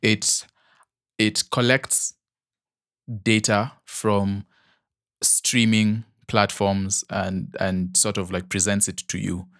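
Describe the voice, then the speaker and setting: A young man with a fairly low voice co-hosts a podcast in loose, casual chat. He rambles, backtracks and fills pauses with ums. The recording is close and dry, with no room sound. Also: level tone, a bit bright, no room sound. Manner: rambling, many ums and restarts, laughs easily. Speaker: podcast co-host.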